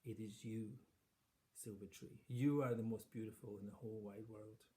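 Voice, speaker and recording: A man voicing a solemn magic trout in a low, soft, hushed voice. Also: fairly even intonation, moderate pace, lightly acted.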